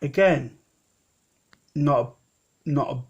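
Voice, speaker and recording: A man's voice: mid-range voice, extremely slow, slightly rough, highs cut off. A man in his late thirties talking in a rambling personal vlog, often repeating himself and restarting. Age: 30s. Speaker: vlogger.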